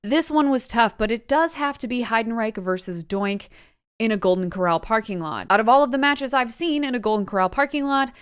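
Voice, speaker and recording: A woman's voice: fairly high pitch, a little fast, clear, resonant tone. A woman in her thirties hosting a casual vlog, talking to viewers with wry, playful, self-deprecating asides. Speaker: YouTube host reviewing wrestling matches.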